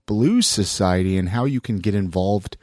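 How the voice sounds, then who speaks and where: A man's voice: fairly low pitch, normal speed, a little mellow, no room sound, clean studio sound. An American man hosting a podcast in a casual, chatty style, slightly congested from a head cold. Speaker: podcast host. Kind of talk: casual podcast chat and sponsor reads.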